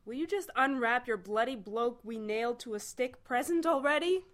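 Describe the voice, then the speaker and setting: Woman putting on a brash alien man, storybook figure, high voice, swooping lively pitch. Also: moderate pace. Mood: impatient. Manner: brash and eager, swinging between pushy and sheepish. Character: excitable, blunt male alien hitchhiker. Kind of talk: storybook reading.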